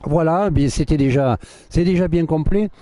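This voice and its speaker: A man's voice: a little high, moderate pace, mellow, dry recording. A man hosting an interview, introducing the guest and asking questions hesitantly with many fillers. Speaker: interviewer, host.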